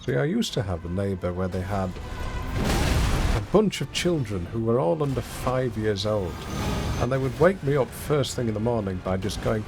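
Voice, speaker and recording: Gravelly, lively gamer guy. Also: fairly low pitch, normal speed, over loud music. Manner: chatty and self-deprecating, answers viewers' chat aloud. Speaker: streamer. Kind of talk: casual live-stream chat while gaming. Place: home, not soundproofed.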